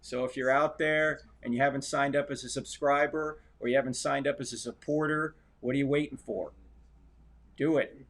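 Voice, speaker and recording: A man's voice: medium pitch, fairly even intonation, moderate pace, a bit bright. A man, a guest on a livestream, talking emphatically and urging listeners on in casual chat. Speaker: guest apologist.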